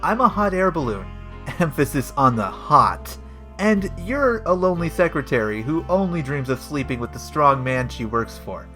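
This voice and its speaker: Man playing a pompous, bumbling detective with lively pitch. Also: moderate pace, soft background music. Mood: smug, self-assured. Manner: pompous, hesitant, full of himself. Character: bumbling, self-important, deluded male police detective. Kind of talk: comedic audio play acting.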